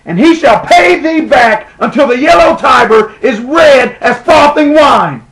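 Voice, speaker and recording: A man playing a proud, embittered enslaved gladiator chief in a declamatory, solemn stage monologue with dramatic pauses. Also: fairly high pitch. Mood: vengeful rage. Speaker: drama student or amateur actor. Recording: roomy, echoing live room.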